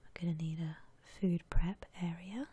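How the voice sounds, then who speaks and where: A woman whispering softly and breathily in a gentle, musing way as she gives ASMR commentary on a game. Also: on the low side, fairly even intonation, husky. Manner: gentle, unhurried, musing aloud. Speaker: ASMR streamer playing a house-decorating game.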